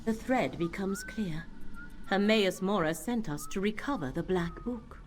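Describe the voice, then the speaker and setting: A woman playing a solemn, cryptic seer in a video game, speaking in a measured, formal and earnest way. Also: medium pitch, a little hiss or hum, close to an everyday voice. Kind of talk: video game character acting.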